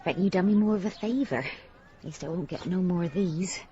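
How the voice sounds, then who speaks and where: An actress playing a blunt, plain-spoken working-class village wife in a radio drama, wry and colloquial. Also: female voice, lowish voice, fairly quick, over a noisy crowd, natural voice. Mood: bitterly wry. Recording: dull sound with faint background noise.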